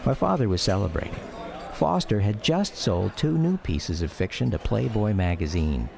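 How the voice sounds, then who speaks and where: Over the bustle of a crowd, a grown son looking back on his father in a radio drama. His voice is low and gravelly. He narrates the memoir wistfully, with plenty of rise and fall. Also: very animated, normal speed. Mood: reflective.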